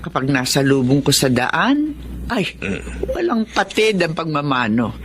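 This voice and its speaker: An actress playing a caring, reassuring elderly wife in a Filipino radio drama. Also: female voice, deep voice, big pitch swings, measured pace, a little hiss or hum. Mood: tender concern. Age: older.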